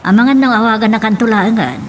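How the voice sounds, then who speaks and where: A woman's voice: on the high side, fast, a little hiss or hum, close to an everyday voice. An actress playing a concerned, understanding mother in an Ilocano radio drama.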